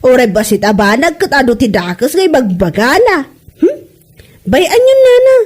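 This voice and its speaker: A woman acting a gossipy, meddling friend and neighbour, chatty and animated, in a comedy drama. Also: sits high, normal speed, breathy edge, full character voice.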